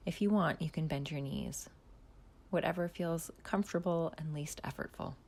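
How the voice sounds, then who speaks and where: Soft low-voiced woman guiding a meditation. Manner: slow, soft, calm instructions with long pauses, often half-whispered. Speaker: mindfulness guide. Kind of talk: guided body scan meditation.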